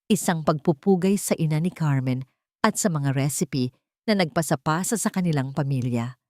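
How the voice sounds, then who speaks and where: A woman's voice: lowish voice, mellow, no room sound, studio quality. A woman reading a scripted romantic story as a dramatic narrator.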